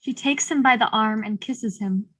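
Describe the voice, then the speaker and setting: A woman's voice: fairly high pitch, normal speed, fairly bright tone, poor audio. A woman reading stage directions as the neutral narrator of a drama reading.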